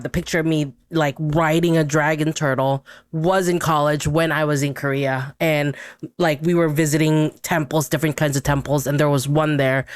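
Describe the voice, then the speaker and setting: Low-pitched woman from Brunei. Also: no room sound, clean studio sound. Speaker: podcast guest. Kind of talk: casual discussion.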